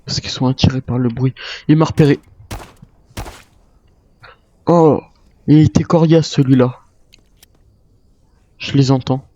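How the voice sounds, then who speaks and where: A man with a dark, relaxed voice at a medium pitch narrates his survival game in character. He mutters his thoughts aloud as he improvises, on a slightly dull microphone. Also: unhurried, pauses often. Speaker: gamer roleplaying a zombie-island survivor.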